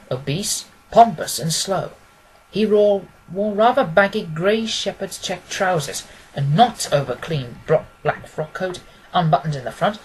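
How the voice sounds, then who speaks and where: A man's voice: high voice, a little hiss or hum, rough recording. A man reading classic fiction aloud as scripted literary narration. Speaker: audiobook reader.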